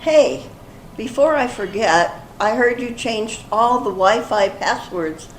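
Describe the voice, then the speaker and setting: Expressive older woman, acted in echoey room. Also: fairly high pitch, slow. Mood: cheerful. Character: earnest older woman, apologetic, increasingly exasperated in comic sketches. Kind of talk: amateur readers theater comedy. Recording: echoey room, faint background noise.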